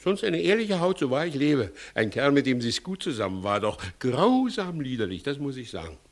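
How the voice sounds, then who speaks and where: An actor playing a shifty, blustering village judge in a theatrical 1960s German radio verse comedy. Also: male voice, medium pitch, very animated, normal speed. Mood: sly, uneasy.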